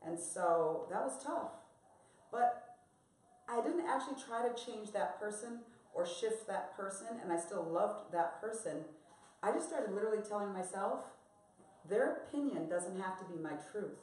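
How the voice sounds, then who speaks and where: A woman's voice: medium pitch, expressive, moderate pace, darkish tone. An American woman on a live panel, talking candidly and reflectively about her own experience. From United States. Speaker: panel guest. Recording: echoey room.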